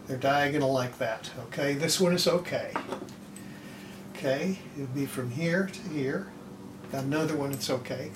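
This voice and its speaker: Older man, higher-pitched, echoey workshop. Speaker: hobbyist restorer presenting his repair video. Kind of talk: casual unscripted workbench demonstration. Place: indoor home electronics workshop. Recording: roomy echo, clattering tool and handling noise.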